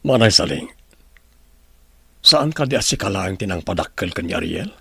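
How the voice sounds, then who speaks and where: Creaky-voiced husband played by a man, heartfelt drama. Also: low-pitched, very animated, normal speed, pushed and bright, no room sound, heavily acted. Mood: inquiring. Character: anguished wealthy husband praying for his wife. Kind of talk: radio drama acting.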